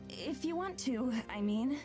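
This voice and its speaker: An actress playing an earnest, shy young woman in a visual novel, speaking with a hesitant, gentle manner. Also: sits high, expressive, measured pace. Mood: gentle, bashful. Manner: hesitant, soft-hearted. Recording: background music behind the voice.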